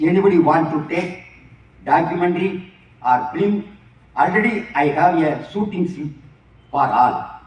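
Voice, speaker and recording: A man with a Tamil Indian English accent speaks in a slow, halting, formal way, his voice set a little high. He is recorded from a distance in an echoey hall. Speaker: martial arts grandmaster giving a speech. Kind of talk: formal speech. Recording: echoey hall, mic far off.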